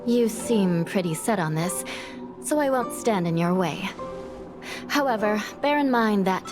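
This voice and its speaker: A woman's voice: medium pitch, expressive, a little slow, natural voice. A woman playing a composed, dutiful and reserved judge in an anime-style video game. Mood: resigned. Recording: background music under the voice.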